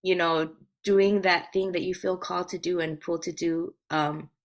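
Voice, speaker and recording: A woman with a low voice talks casually as an interview guest. She is a life coach who reflects earnestly on her own experience, often slipping into quoted thoughts to make her point. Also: fairly even intonation, normal speed. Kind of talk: casual interview chat, personal storytelling.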